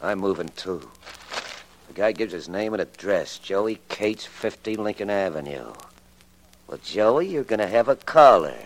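An actor playing a surly, menacing small-time crook in a late 1940s radio drama, with gruff, impatient, slangy tough talk. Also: male voice, fairly low pitch, animated, a little slow, a little hiss or hum, poor audio.

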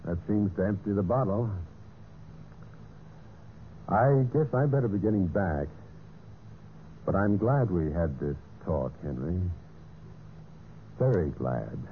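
A scheming husband in a vintage radio mystery. His voice is on the low side and swings widely in pitch, edgy and evasive, on a thin, tinny old broadcast recording. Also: expressive, slow, pauses often, a little hiss or hum. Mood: falsely friendly. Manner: hesitant, stalling with uhs when speaking to others. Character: scheming husband narrating his murder plot, nervously evasive. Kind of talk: 1940s-50s radio mystery acting with first-person narration.

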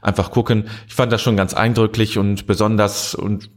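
A man's voice: lowish voice, flat delivery, normal speed. A man hosting short interviews for a community outlet, talking in a conversational way with fillers and restarts. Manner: conversational, with fillers and self-corrections. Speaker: community media host. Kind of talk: casual report and interview.

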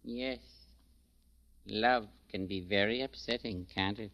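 Sly, slow-talking sinister schemer. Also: male voice, fairly low pitch, dry recording. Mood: mocking. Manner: unhurried, mocking, over-polite. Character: sly, smug young man, falsely sympathetic, quietly sinister schemer. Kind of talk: 1940s radio drama acting. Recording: muffled old radio recording.

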